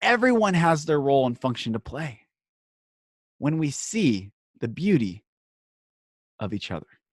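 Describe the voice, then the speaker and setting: A man's voice: on the high side, very animated, no room sound, clean studio sound. Earnest man giving an inspirational podcast monologue. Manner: earnest, emphatic, dramatic pauses and short phrases. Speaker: podcast host and speaker.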